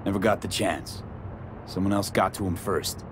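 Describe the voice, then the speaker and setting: Man playing a dry, low-voiced streetwise mercenary. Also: normal speed, close to an everyday voice. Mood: sympathetic, resolved. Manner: dry, curt, sardonic. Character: pragmatic, streetwise male mercenary, dry and level-headed. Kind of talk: video game voice acting. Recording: loud vehicle noise and game ambience.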